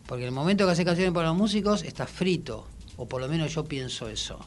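A man with a Rioplatense Argentine accent talking casually and reflectively in a radio interview, with halting, colloquial phrasing. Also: fairly high pitch, expressive, a little hiss or hum. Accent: Rioplatense Argentine Spanish. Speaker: musician and songwriter guest.

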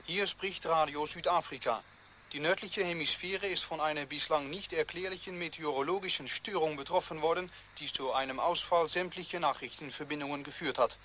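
Highish solemn radio announcer. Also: male voice, a little fast, sharp tone, slight background noise. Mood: solemn, formal. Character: solemn male foreign radio station announcer. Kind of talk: radio drama news bulletin.

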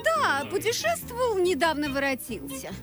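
Affected young lady, high swooping voice, gushing, effusive, drawn-out words, radio play over loud music. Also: huge pitch swings, exaggerated voice. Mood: eager gossip. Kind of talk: theatrical radio play acting.

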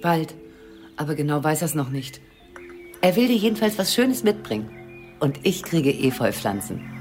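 A woman's voice: low-pitched, very animated, moderate pace, darkish tone, faint music behind. An actress playing an anxious, evasive middle-aged mother in a radio crime drama, with a natural, nervy, placating manner. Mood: distracted. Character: anxious, evasive small-town mother and cat owner.

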